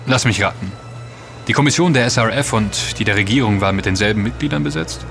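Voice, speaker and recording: A man playing the composed leader of an investigative team in a German audio drama, speaking in a measured, matter-of-fact way. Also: lowish voice, vocal fry, music in the background. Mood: probing.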